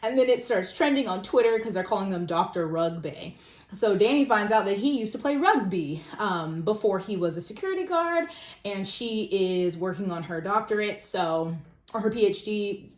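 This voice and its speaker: A woman's voice: mid-range voice, lively intonation, normal speed. A woman giving a casual, self-deprecating book review in an informal, conversational way. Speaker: book vlogger. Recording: roomy echo.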